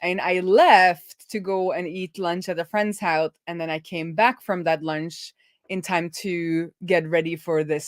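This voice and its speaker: A woman hosting a live coding stream, chatting informally and telling things in an animated, conversational way. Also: fairly low pitch, dry recording, clean studio sound. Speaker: livestream host and web developer.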